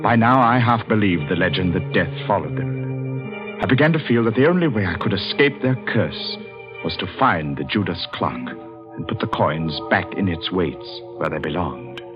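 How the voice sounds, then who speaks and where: A man's voice: sits low, expressive, moderate pace, over music. An actor playing a haunted, anxious young man, brooding and jittery, in the first-person style of 1940s radio drama. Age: young adult. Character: haunted, anxious young man narrating his own doom. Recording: muffled 1940s radio recording.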